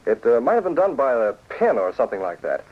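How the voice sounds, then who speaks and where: Husky, animated shrewd publisher. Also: male voice, mid-range voice, fast talker. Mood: shrewd, measured. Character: confident, shrewd newspaper publisher and secret crimefighter. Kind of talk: 1940s radio acting. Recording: dull lo-fi 1940s radio recording.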